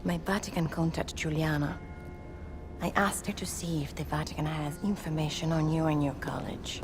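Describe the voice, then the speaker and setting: A woman playing a sharp, guarded investigative journalist with an Italian accent in a cinematic game, probing and self-assured. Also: deep voice, fairly even intonation, normal speed, music in the background. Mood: earnest.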